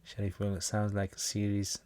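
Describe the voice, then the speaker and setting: Low-voiced man chatting casually. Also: monotone, brisk, darkish tone. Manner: jokey, rambling, with slangy asides. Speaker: Islamic teacher hosting a livestream Q&A. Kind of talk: casual livestream chat, answering viewer comments.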